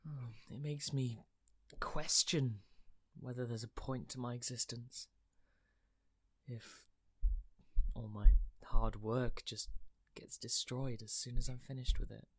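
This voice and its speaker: A woman's voice: extremely low pitch, fairly even intonation, takes it slowly, pauses often, breathy edge, full character voice. A woman in a radio play playing an earnest, emotive, idealistic conservationist. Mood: despairing. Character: Alex, earnest, idealistic conservationist, friendly but distressed by destruction.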